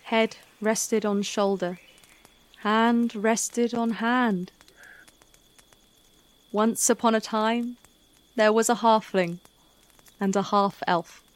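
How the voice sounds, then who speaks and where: Higher-pitched woman narrating a storybook tale. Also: steady tone. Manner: measured, dramatic storytelling.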